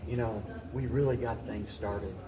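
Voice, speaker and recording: An older man giving reflective interview answers as a mayor, haltingly, often repeating and restarting his phrases. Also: lowish voice, fairly even intonation, over music. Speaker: mayor, talk show guest. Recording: roomy, distant mic, voices in background.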